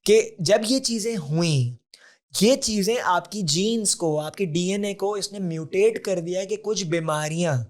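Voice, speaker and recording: A man's voice: high-pitched, unhurried. Earnest man in his forties. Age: 40s. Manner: earnest, explanatory, mixes in English terms. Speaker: podcast guest, health advocate. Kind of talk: conversational interview.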